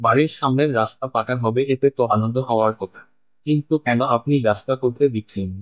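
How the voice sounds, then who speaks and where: A man plays an officious town official in a cartoon. His voice is mid-pitched and matter-of-fact, with a dismissive air. The recording is narrow, tinny and dry. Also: fast talker, dry recording, close to an everyday voice. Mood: puzzled, officious. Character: brusque, dismissive municipal officer. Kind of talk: Bengali cartoon story acting.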